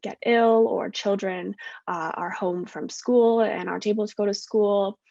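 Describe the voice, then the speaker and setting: A woman's voice: mid-range voice, fairly even intonation, measured pace, vocal fry, mellow, no echo. A woman in her thirties with a Canadian accent gives a friendly online presentation, with many fillers and self-corrections. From west coast of Canada. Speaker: guest speaker, postdoctoral researcher. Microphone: video call. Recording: dull lo-fi video call audio.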